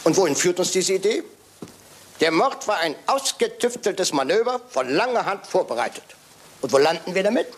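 An actor playing a shrewd, self-assured, pedantic detective in a German radio crime drama, speaking in a deliberate, theatrical way. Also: male voice, sits high, big pitch swings, breathy edge, heavily acted. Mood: reasoning. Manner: theatrical, deliberate, addressing and coaxing others.

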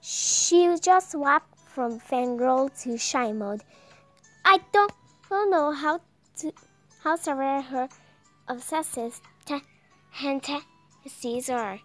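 Young woman, very high voice, slow halting reading, stumbles on words, visual novel narration, faint music. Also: fairly bright tone. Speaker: gameplay video creator reading aloud. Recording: music behind the voice.

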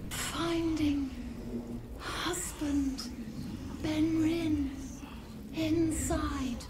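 A woman voicing the spirit of a dead woman speaking through her corpse in broken, halting words. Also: high voice, steady tone, noisy background. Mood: eerie. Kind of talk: video game voice acting.

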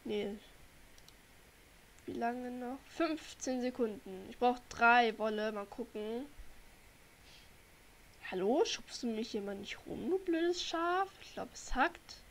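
A woman's voice: fairly high pitch, slow pace, pauses often, a little hiss or hum. A young woman with a cold hosting her gaming channel, chatting casually and rambling to viewers as she plays. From Germany. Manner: chatty, rambling, talks to viewers while playing. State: has a cold. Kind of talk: casual gameplay commentary. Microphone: desk microphone.

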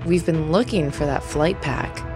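Woman doing a cold mean lady general, lowish voice, music going behind her. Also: measured pace. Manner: commanding, cruel. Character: cold ruthless female general, middle-aged. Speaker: military general. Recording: music bed underneath.

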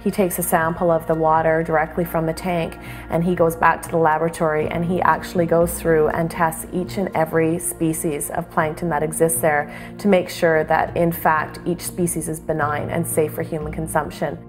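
A woman's voice: low-pitched, little pitch movement, moderate pace, few pauses, over music. A woman in her thirties, co-owner of a sea farm, speaking earnestly in a documentary interview. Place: indoors by a window over a bay.

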